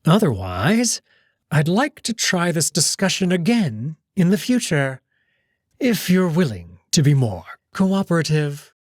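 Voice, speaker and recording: Man voicing a slow, menacing old wolf aristocrat. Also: on the high side, sing-song, no echo, clean studio sound, voice pushed up. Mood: cold, polite. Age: older. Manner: measured, condescending, formally polite menace. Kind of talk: dramatized visual novel reading.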